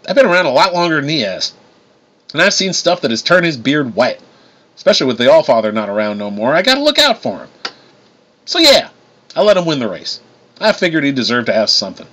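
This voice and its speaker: A man's voice: on the high side, huge pitch swings, fairly quick, pauses often, fairly bright tone, voice pushed up, heavily acted. A man voicing a folksy, protective older-brother god who races stock cars, with a drawling Southern US accent, in an audiobook story.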